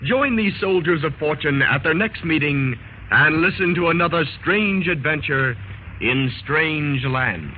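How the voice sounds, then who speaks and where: A man's voice: a little high, lively intonation, a little slow, slight background noise. A man playing a formal, theatrical announcer of an adventure serial in 1930s radio style, on an old muffled recording. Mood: grandly mysterious.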